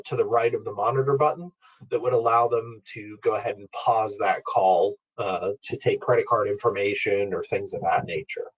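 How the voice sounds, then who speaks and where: A man presenting an informal, step-by-step software walkthrough in an explanatory, instructional way, as a webinar trainer. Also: mid-range voice, muffled recording. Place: online webinar screen-share.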